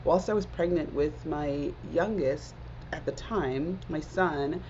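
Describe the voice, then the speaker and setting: A woman's voice: sits low, slow pace, darkish tone, a little hiss or hum. A woman in her forties from Zimbabwe, a guest speaking earnestly and reflectively in a casual interview, often dropping in "like". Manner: earnest, reflective, many "like" fillers. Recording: dull lo-fi audio.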